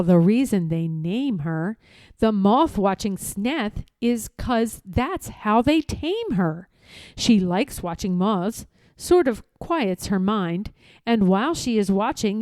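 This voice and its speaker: A woman reads a rhyming children's story in a mellow, softly toned voice. Her delivery is playful and emphatic, swinging widely up and down in pitch. The recording is close and dry. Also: no room sound. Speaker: storyteller reading aloud. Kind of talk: expressive read-aloud of a rhyming children's storybook.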